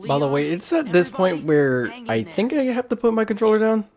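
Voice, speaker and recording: A man's voice: sits high, sing-song, fairly quick, darkish tone. A man hosting a let's play, giving casual commentary and thinking aloud as he goes. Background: faint game music.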